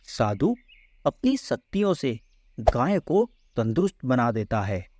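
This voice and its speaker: A man's voice: medium pitch, sing-song, takes it slowly, a little mellow, slight background noise. A man narrating a scripted children's story as a storyteller in a close voice-over.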